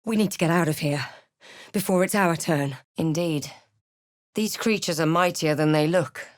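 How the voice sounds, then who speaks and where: A fantasy game adventurer played by a woman, snarky and courageous, with a slightly low female voice. She speaks deliberately and with theatrical weight, as suited to spellcasting and storytelling. The sound is close and dry, free of room reflections. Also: no room sound, close to an everyday voice. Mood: wry, snarky. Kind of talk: video game voice lines, dramatic narration and spell chants.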